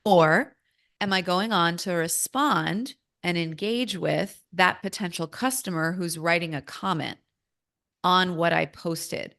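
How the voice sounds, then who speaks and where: An American woman converses as an interviewer. Her voice sits a little lower than most women's, and she phrases her thoughts in a reflective, deliberate way. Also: vocal fry, fairly bright tone.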